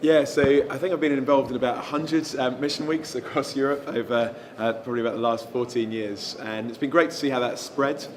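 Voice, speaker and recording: A man who is a guest evangelist, answering interview questions in a relaxed, thoughtful way with frequent ums. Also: medium pitch, fairly quick, few pauses, a crowd in the background. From England.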